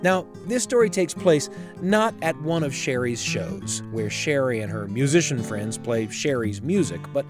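A man hosting and narrating a radio storytelling show, with dramatic, deliberate phrasing. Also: medium pitch, expressive. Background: guitar music.

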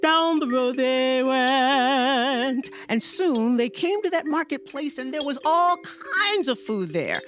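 A woman performs a children's tale in a high voice at a slow pace. She leans on rhythmic repetition and playful emphasis, with faint music present. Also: fairly bright tone. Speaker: storyteller. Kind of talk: animated oral storytelling for children.

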